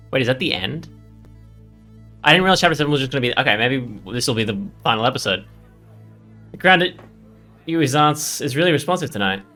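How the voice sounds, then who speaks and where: A male let's player reads visual novel text aloud in a quick, bright voice of middle pitch, with his own reactions. Faint game music sits behind him.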